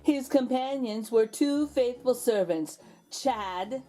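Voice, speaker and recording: Slow, expressive woman reading a storybook. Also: fairly high pitch. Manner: theatrical, suspenseful emphasis. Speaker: storybook reader, host. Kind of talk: expressive children's read-aloud narration.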